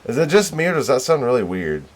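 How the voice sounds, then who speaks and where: A man's voice: mid-range voice, big pitch swings, fast talker. A man streaming a video game with casual, rambling commentary and self-deprecating asides.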